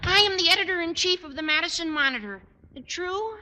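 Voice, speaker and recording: An actor playing an earnest, self-important teenage schoolboy who talks pompously and melodramatically in a 1950s radio sitcom. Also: male voice, very high voice, lively intonation, brisk, bright, forceful tone, big-room sound, full character voice, rough recording. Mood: grave, pompous. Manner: pompous, florid wording.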